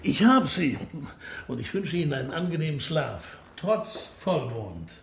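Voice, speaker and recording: An actor playing a polite, opera-loving police detective in a radio play, musing and probing gently in a roundabout way. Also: male voice, on the high side, sing-song, unhurried, vocal fry, a little hiss or hum, heavily acted. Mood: courteous, wry. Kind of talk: naturalistic radio drama acting.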